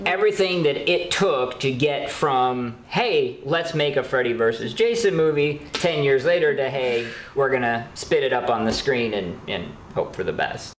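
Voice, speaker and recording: A man's voice: mid-range voice, animated, normal speed, hardly any pauses, faint music behind. A man co-hosting a horror news show with casual, bantering talk. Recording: roomy echo, faint background noise.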